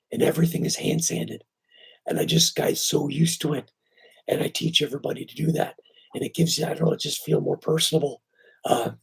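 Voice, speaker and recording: A man with a strained, hoarse voice, still recovering from surgery, talks casually on a live stream. His tone is deeply gravelly and husky, and it often fades to near-whisper. His pitch swings about freely as he rambles along with filler words. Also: a little mellow, rough recording. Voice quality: very raspy, breaking into breathy near-whisper. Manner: rambling, easygoing, filler-heavy. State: hoarse, voice weak after surgery. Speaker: knifemaker hosting a live stream.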